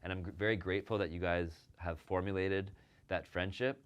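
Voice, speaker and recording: A man's voice: sits low, fairly even intonation, fairly quick, clear, resonant tone, muffled recording. A man hosting an interview, speaking casually and praising his guest with many fillers. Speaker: show host and agency owner.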